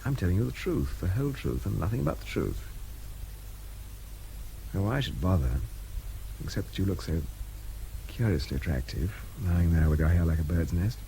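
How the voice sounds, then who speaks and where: An actor playing a wry, war-weary intelligence captain in a radio drama, dry, clipped and self-deprecating. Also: male voice, sits low, expressive, normal speed, vocal fry, pauses often, dark tone, rough recording. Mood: musing. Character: war-weary, wry British army intelligence captain, frank about fear. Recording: radio drama with faint background noise.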